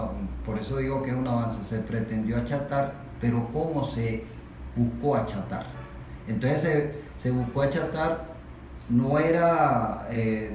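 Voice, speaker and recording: Halting Venezuelan man in very echoey room. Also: mid-range voice, a little mellow. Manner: halting, searching for words. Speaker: panel guest. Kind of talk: informal analytical discussion. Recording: very echoey room, faint noise.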